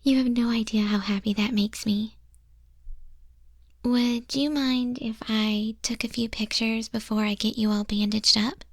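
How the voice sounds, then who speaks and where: A woman voicing a gentle, sincere moth monster girl tattoo artist in a soft, breathy, tender ASMR roleplay. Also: a little high, steady tone, measured pace, dry recording, clean studio sound. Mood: softly pleased.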